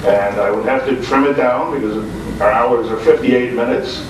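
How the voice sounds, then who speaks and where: A man's voice: medium pitch, normal speed. A middle-aged man, a radio show host, telling an anecdote conversationally on a panel. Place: convention room before an audience. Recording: roomy, distant, noisy informal video recording.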